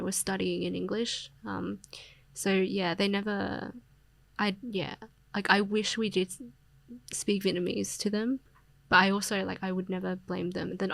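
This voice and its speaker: Young Australian woman with a gravelly edge. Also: mid-range voice, no room sound. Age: young adult. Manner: reflective, with frequent fillers and soft laughs. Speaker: podcast guest, poet. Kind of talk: casual interview conversation.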